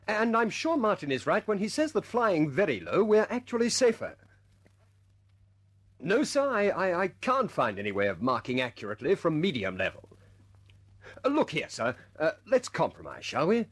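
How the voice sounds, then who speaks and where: Husky, animated RAF squadron leader. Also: male voice, high voice, moderate pace, exaggerated voice. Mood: earnest, persuasive. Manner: hesitant stammers when pressing a point, otherwise commanding. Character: earnest, brisk male RAF squadron leader, good-humoured. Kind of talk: vintage radio drama acting.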